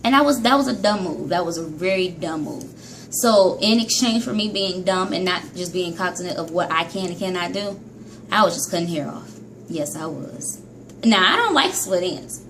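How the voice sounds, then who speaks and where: A woman vlogging about hair care in a casual, chatty monologue, scolding herself and jumping between high and low pitch. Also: animated, moderate pace, noisy background. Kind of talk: casual vlog monologue to viewers.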